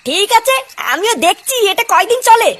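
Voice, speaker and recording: A woman's voice: very high-pitched, animated, moderate pace, a bit bright. A woman voicing a foul-mouthed, resentful, scheming young father in a comedy cartoon, grumbling and belligerent. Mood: jealous, spiteful.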